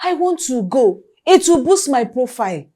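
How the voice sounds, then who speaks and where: An actress playing a fierce but loving older sister who scolds and pleads emotionally. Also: female voice, high voice, very animated, normal speed, mellow. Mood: arguing.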